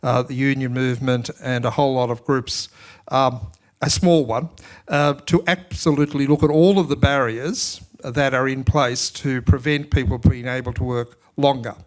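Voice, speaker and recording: A man's voice: medium pitch, fairly even intonation, normal speed. Man moderating a panel conversationally. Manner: conversational, stumbling restarts. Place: public forum hall.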